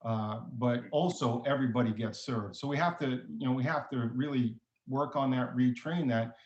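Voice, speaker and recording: A man's voice: mid-range voice, normal speed, darkish tone. A man giving an explanatory answer as a witness at a formal hearing. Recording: echoey, dull lo-fi room audio.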